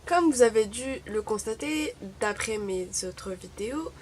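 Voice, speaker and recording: A woman's voice: a little high, animated, unhurried, noisy background. A young woman from Morocco, Arabic-speaking by birth, chatting wryly to camera with many hesitations. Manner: wry, chatty, full of hesitations. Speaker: vlogger. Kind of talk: casual chat to camera.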